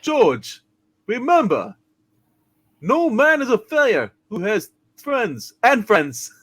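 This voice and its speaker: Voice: mid-range voice, very animated, slow pace, a bit bright, husky, heavily acted. A performer playing a boisterous, jokey small-town character in a hammed-up amateur radio play reading, with a clownish, exclamatory manner. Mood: mock-solemn.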